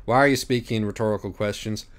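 A man's voice: on the low side, steady tone, quick pace, close to an everyday voice. A man voicing a defiant, irritable, foul-mouthed pony in an audiobook, blunt and scornful. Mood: impatient. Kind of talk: audiobook character voice.

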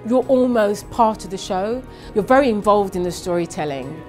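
A woman's voice: medium pitch, lively intonation, normal speed, a little mellow. A woman talking in an interview about theatre. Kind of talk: interview talk. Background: music.

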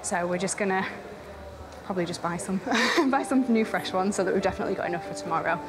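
A woman's voice: medium pitch, fast talker, music in the background. A young woman with an English accent, chatting upbeat and laughing easily while setting up an event at a station. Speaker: railway events organiser. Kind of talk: casual on-location chat. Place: outdoor railway station platform.

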